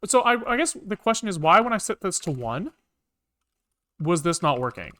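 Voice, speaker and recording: A man casually live-coding on a stream, thinking aloud in halting, muttered bits as he works. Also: high voice, big pitch swings, sharp tone. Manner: halting, muttering to himself while working. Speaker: programming livestreamer.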